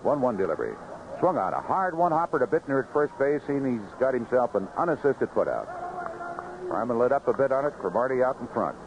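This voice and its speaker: An American man calling baseball play-by-play live on the radio in clipped phrases, in an animated manner. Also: mid-range voice, a little fast. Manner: animated calls rising sharply on big plays, clipped phrases. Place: ballpark broadcast booth. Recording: noisy, muffled vintage AM radio broadcast.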